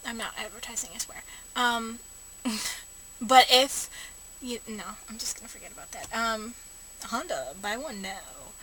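A young woman rambling and joking about herself in a casual vlog, recorded from a parked car on a camera held low at arm's length. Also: animated, a little slow, fairly bright tone. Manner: chatty, self-mocking, many fillers. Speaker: vlogger. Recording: noisy home camera recording.

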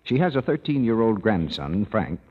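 Deep husky radio announcer man. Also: very animated, moderate pace. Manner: smooth, salesy. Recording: dull old broadcast with long reverb.

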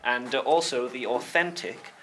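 A man in his thirties giving a casual, mock-earnest tour to camera in a deadpan manner. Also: medium pitch, normal speed, music in the background. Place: indoors in an old hall. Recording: clearly noisy room ambience.

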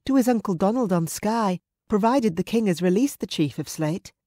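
A protective woman as acted by an audiobook narrator, her voice lifted high. She speaks earnestly in old-fashioned dialect, like a mother in a historical romance, recorded close and dry. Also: expressive, moderate pace, warm tone, dry recording, natural voice. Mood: fond, wistful. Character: anxious Scottish Highland woman, protective mother.

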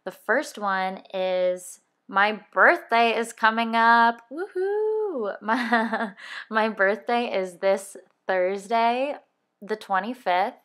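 A woman's voice: a little high, slow, fairly bright tone. A woman in her early thirties hosting a podcast, talking casually and rambling a little, correcting herself and laughing as she goes. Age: 30s. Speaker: podcast co-host.

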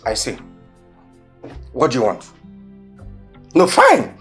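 An actor playing a struggling young boyfriend who pleads and then bursts into shouting. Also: male voice, mid-range voice, wildly swooping pitch, slow, faint music behind, full character voice. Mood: angry. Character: struggling young man, broke boyfriend, hot-tempered.

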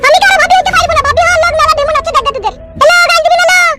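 A woman voicing a shrill, quarrelsome, demanding village wife in a comedy cartoon, scolding crudely. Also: racing, over loud music. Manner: scolding, foul-mouthed.

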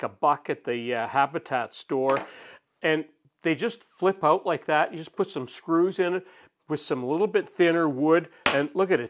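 A man in his sixties hosting a woodworking channel, explaining his workbench tricks in a relaxed, chatty way with frequent chuckles, recorded in his home workshop. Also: on the high side, a little hiss or hum. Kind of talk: casual workshop demonstration. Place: home woodworking shop, indoors.

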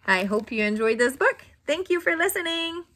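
A middle-aged woman reading a picture book aloud to young children in an exaggerated, interactive way, with questions and pauses. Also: high voice, lively intonation, pushed and bright. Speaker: children's storybook reader. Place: small indoor room at home.

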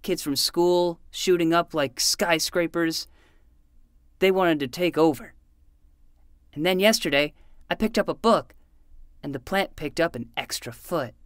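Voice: medium pitch, a little slow, pauses often, no room sound, close to an everyday voice. An actor as a self-serious boy detective, dry studio sound. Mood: brooding, self-serious. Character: twelve-year-old boy playing hard-boiled private eye, earnest. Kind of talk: fiction podcast acting with noir narration.

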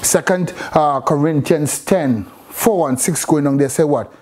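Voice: medium pitch, unhurried, a little mellow. A preacher delivering an impassioned, exhorting sermon, repeating phrases for emphasis.

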